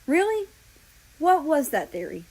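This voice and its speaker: A woman's voice: sits high, very animated, unhurried, darkish tone, voice pushed up, exaggerated voice. Woman as curt, commanding authority figure. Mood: dismissive. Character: stern, dismissive male authority giving orders.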